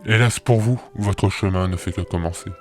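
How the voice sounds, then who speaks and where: A man playing a calm, knowing oracle in an amateur audio drama, explaining things in a measured, solemn way. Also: deep voice, close to an everyday voice. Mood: solemn, helpful. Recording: faint music behind the voice.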